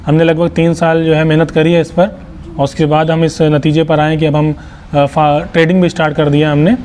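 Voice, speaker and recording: A young man with an Uttar Pradesh Hindi accent talks fast and a little high, sounding like a salesman as he walks through his goat pens. He leans on repeated fillers. He is recorded outdoors on a nearby camera, with faint farm noise behind him. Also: flat delivery, darkish tone. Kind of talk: casual walkthrough interview.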